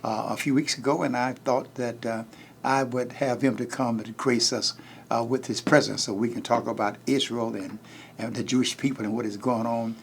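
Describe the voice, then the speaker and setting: A man, a pastor, hosting a televised interview, halting with many fillers. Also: medium pitch, fairly even intonation, gravelly, a little hiss or hum. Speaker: pastor and show host.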